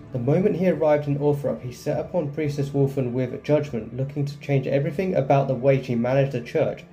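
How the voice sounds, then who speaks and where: A man's voice: medium pitch, mellow. A man doing gameplay commentary and reading story text aloud from the game. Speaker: gaming video creator. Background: game music.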